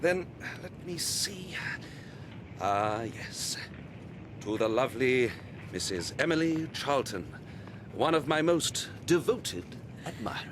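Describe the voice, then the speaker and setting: An actor playing a suave, grandly theatrical stage star in a radio drama, florid, courtly and flattering. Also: male voice, mid-range voice, slow, background noise.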